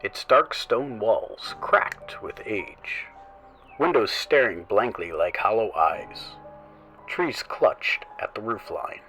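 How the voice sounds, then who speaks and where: A man hosting a horror story show, reading scripted tales in a slow, theatrical voice that often drops into hushed whispers. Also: on the low side, big pitch swings, soft background music, rough recording. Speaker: horror show host and narrator.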